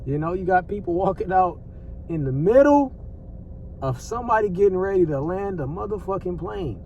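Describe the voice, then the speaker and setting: An American man with a slightly higher-than-average voice talks off the cuff like a vlogger commenting on the news. He is conversational and repetitive, using slang and swearing freely. The recording is a little distant, with faint background noise. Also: lively intonation, normal speed, a little mellow, muffled recording.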